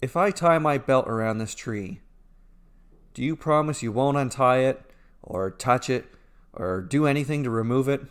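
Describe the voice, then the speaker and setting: A man's voice: mid-range voice, normal speed, clean tone, lightly acted. A man voicing a poor, scheming peasant treasure seeker in a storybook, pressing and demanding with his questions. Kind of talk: storybook character dialogue.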